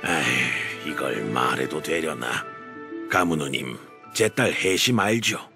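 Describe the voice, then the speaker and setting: A man's voice: rumbling low, animated, takes it slowly, creaky, over music, exaggerated voice. A man voicing a jovial, humble old official in a video game, with folksy, old-fashioned speech. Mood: polite, warm. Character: jovial, humble old male official posing as nagging uncle. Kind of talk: Korean video game voice acting.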